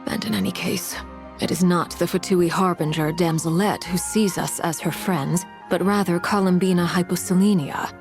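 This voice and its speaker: An actress playing a cold, resolute noblewoman commander in a video game, speaking in a measured, formal, grave way. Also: on the low side, fairly even intonation, music in the background, lightly acted.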